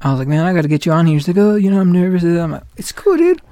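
A man's voice: sits high, very animated, very fast, dark tone, dry recording. A man in his late twenties hosting a casual podcast chat, rambling along with lots of "like" and retold quotes. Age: 20s. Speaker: podcast host.

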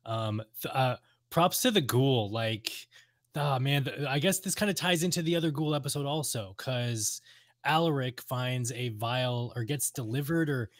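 A man's voice: medium pitch, moderate pace, dry recording, clean studio sound. A man co-hosting a podcast, chatting informally and with enthusiasm about games. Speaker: podcast co-host. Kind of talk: casual chat about games and radio plays.

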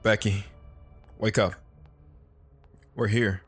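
A man's voice: fairly low pitch, steady tone, slow pace, husky, faint music behind. An actor playing a weary young soldier and family man in an audio drama, plain-spoken and understated as he tells his story. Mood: quiet, matter-of-fact. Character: Travis, weary young soldier husband and father narrating his past. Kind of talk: dramatic first-person audio drama narration and dialogue.

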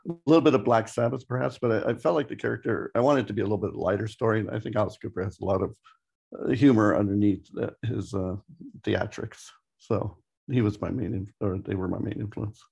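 Man, podcast co-host, gravelly fry, lowish pitch, casual halting delivery. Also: fairly even intonation, darkish tone. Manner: hesitant, thinking aloud in fragments. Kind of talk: casual interview chat.

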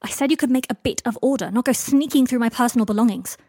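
A woman voicing a gruff, irritable man in an audiobook story, blunt, halting and frustrated. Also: on the high side, steady tone, no room sound, studio quality. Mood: angry.

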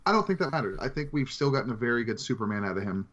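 A man's voice: mid-range voice, animated, racing, fairly bright tone. A man co-hosting a casual podcast, talking conversationally about movies and his opinions of them. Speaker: podcast co-host. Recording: slightly dull lo-fi audio.

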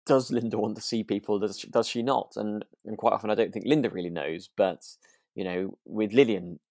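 Guy on a podcast, lowish voice, rambles and muses, really dry close sound. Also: a little fast, darkish tone, no room sound. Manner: tentative, musing, rambling. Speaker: podcast co-host. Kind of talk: casual chat about a radio drama.

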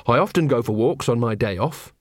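A man's voice: medium pitch, lively intonation, brisk, no echo, studio quality, close to an everyday voice. A man doing an earnest naive young watchman. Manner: eager, guileless and literal.

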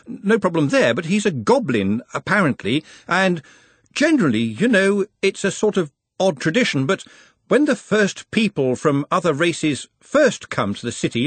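A man playing an anxious young clerk in a storybook who stammers politely, sitting high and lifting sharply in pitch. The voice is husky and breathy, heard close and dry. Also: sing-song, unhurried, no room sound, exaggerated voice. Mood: nervous. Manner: hesitant, stammering, deferential. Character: nervous young male academic clerk, dutiful and anxious.

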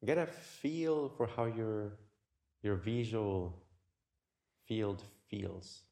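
Slow, low-voiced man guiding meditation. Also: lively intonation, creaky. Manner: gentle, unhurried, with long pauses. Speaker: meditation teacher. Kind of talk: guided meditation.

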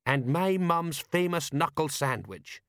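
A man's voice: a little high, expressive, measured pace, a bit bright, no echo, clean studio sound, voice pushed up. A man voicing a reluctant older sergeant, blustering and grumbling as he goes. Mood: mock heroic.

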